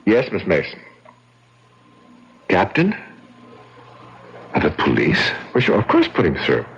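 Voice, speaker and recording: A man's voice: low voice, huge pitch swings, unhurried, a little hiss or hum, heavily acted. An actor playing a calculating, indignant man suspected of murder, in emphatic, theatrical radio mystery style. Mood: impatient, alarmed. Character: smooth, calculating, aggrieved brother-in-law suspected of murder. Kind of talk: old-time radio mystery acting. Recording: dull, lo-fi radio drama recording.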